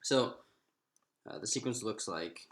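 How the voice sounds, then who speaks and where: A man teaches mathematics informally in a roomy, echoing space. His pitch moves around in a lively way as he works things out aloud. The delivery is halting, with stretched pauses between phrases. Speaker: math lecturer. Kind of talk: informal lecture, working through a derivation aloud. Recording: roomy, echoing room sound.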